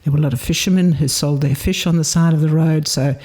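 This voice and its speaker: An older woman in her seventies, originally from South Africa, recalls her past in an unhurried interview. Her voice is warm and mellow, with a slightly husky, breathy edge, and she speaks in a reflective, measured way, pausing to gather her thoughts. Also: low-pitched, fairly even intonation. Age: 70s. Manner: reflective, measured storytelling with pauses. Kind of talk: reminiscing in a recorded interview.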